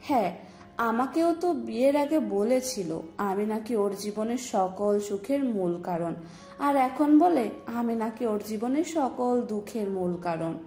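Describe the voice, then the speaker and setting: A woman's voice: fairly high pitch, animated, a little slow, warm tone, soft background music. A woman voicing a wry young wife joking about her husband in an audio story.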